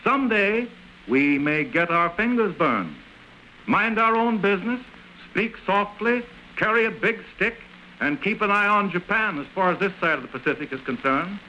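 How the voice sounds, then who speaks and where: High, piercing 1930s American newsman. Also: animated, normal speed, bright, forceful tone. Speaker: radio news commentator. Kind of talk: 1930s radio news commentary. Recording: noisy old 1930s radio recording.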